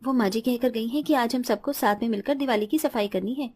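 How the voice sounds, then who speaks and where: Woman playing earnest, higher-voiced homely family women. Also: brisk, darkish tone, close to an everyday voice. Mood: timid. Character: caring, firm mother-in-law and gentle, dutiful daughter-in-law. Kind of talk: Hindi cartoon story dialogue.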